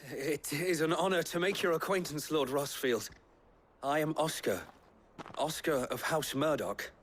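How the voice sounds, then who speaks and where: A man's voice: on the high side, a little slow. A man playing an eager young noble who hopes to be a squire, formal and courteous in a game drama. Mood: respectful. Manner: formal, courteous, nervously deferential. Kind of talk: video game drama acting.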